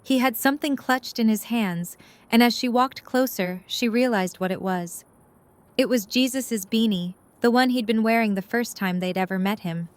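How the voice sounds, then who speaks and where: A woman's voice: fairly high pitch, normal speed. A woman reading scripted story narration as the narrator of an audio drama.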